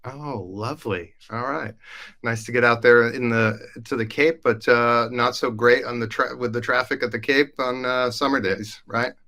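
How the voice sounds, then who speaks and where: A man's voice: medium pitch, steady tone, normal speed, pushed and bright. A man hosting a live podcast, chatty and upbeat, introducing colleagues. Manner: upbeat, chatty, with frequent fillers. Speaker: host. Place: Denmark.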